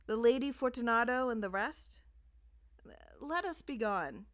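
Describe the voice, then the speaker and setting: Woman acting a high-strung, desperate trapped nobleman. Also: lively intonation, a little slow, fairly bright tone. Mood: nervous joviality. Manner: exclamatory, strained, theatrical. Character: desperate drunken nobleman, trapped, forcing jovial bravado.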